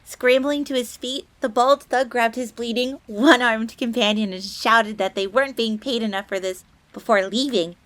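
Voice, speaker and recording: A woman's voice: on the high side, fairly even intonation, normal speed, few pauses, a bit bright, lightly acted. A woman playing a bubbly, devoted fox maid with a fierce streak, eagerly deferential and speaking of herself in the third person in an audio roleplay. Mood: proud, animated storytelling. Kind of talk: dramatic audio roleplay storytelling.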